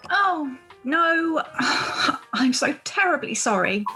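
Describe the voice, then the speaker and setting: Prim, nervous lady played by an amateur actress, very high voice, polite and easily shocked, expressive radio drama. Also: slow pace, faint music behind, exaggerated voice. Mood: flustered, apologetic. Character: timid, polite, easily shocked woman named Sinead. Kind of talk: amateur radio play acting.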